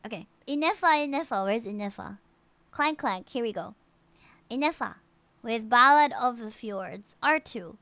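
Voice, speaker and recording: Bright, very high-voiced woman streaming a game. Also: normal speed, no room sound. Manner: animated, chatty, frequent exclamations and asides to viewers. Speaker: live streamer reviewing players' game characters. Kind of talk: casual live-stream chat, reading out stats.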